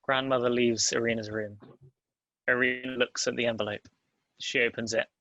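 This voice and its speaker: A man's voice: mid-range voice, little pitch movement, normal speed, sharp tone, no room sound. A man reading out stage directions as the narrator of a script table read.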